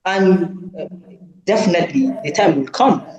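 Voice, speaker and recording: Male panelist, slightly high voice, pushy debater, roomy echo. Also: normal speed, crowd noise. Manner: argumentative, insistent, with repeated restarts. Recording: echoey room.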